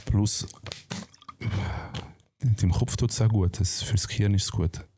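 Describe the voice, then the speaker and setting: A man's voice: on the low side, fairly even intonation, a little slow, background noise. A man with a Swiss German accent and a slight lisp, chatting casually and bluntly in slangy speech as a talk guest. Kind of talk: casual chat.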